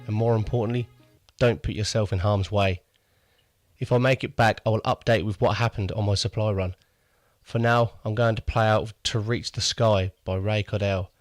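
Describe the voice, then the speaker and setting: A man's voice: on the low side, dry recording, close to an everyday voice. A man playing a wry, understated survivor keeping a radio diary in an audio drama. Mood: sincere. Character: wry male survivor and amateur radio host.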